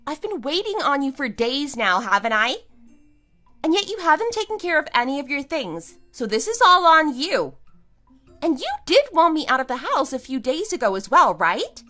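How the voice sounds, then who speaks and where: A woman's voice: high voice, sing-song, moderate pace, bright, forceful tone, faint music behind, full character voice. A woman playing a scornful, triumphant wife in an audio drama, with a sarcastic, emphatic delivery. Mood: exasperated, dismissive. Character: wronged wife, scornful and triumphant, kicking out cheating husband. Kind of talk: amateur audio drama acting.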